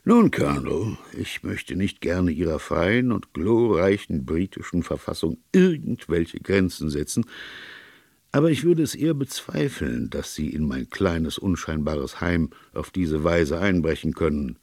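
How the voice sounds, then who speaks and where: A man voicing a cool, hard-bitten young Irish-American shopkeeper in a detective audiobook, calm, ironic and mock-polite. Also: deep voice, a little slow, vocal fry, dry recording, studio quality, heavily acted. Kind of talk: audiobook character dialogue in a detective story.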